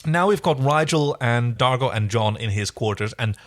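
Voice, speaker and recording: A man's voice: medium pitch, expressive, moderate pace. A man with a mid-range voice co-hosts a recap podcast. He chats casually, sounding enthusiastic and playful about what he describes. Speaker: podcast co-host. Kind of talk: casual TV episode recap chat.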